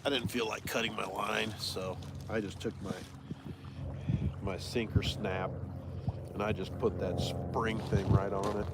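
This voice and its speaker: A man's voice: fairly low pitch, little pitch movement, a little slow, creaky, heavy background noise. A man chatting casually on a fishing live stream in a rambling, self-deprecating way, sounding slightly congested from allergies. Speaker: fishing livestreamer. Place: outdoors beside a reservoir.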